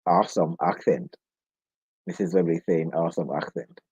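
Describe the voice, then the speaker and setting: A playful man with a Jamaican accent chats loosely with his viewers and laughs often. His voice is husky and mellow. The sound is dry and a bit dull, like a lo-fi stream. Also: sits low, moderate pace, no echo. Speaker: host of a live discussion. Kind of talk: casual live-stream chat.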